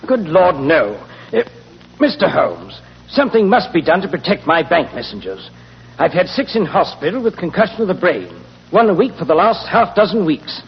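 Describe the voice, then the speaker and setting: High, raspy, flustered English banker. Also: male voice, very animated, normal speed, heavily acted. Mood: anxious. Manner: agitated, hesitant, earnest. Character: flustered, anxious English bank chairman. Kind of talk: 1940s radio acting. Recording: muffled, echoey old radio recording.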